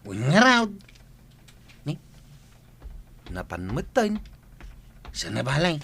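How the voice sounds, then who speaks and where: A man's voice: fairly high pitch, wildly swooping pitch, slow pace, gravelly, sharp tone, slightly rough, heavily acted. A man playing a cheeky, argumentative villager in a comedy skit, teasing and protesting.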